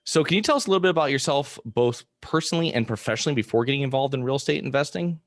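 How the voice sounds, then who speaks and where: A man hosting a podcast, reading a brisk introduction and asking the guest questions. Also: medium pitch, lively intonation, dry recording. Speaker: podcast host. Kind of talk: interview hosting.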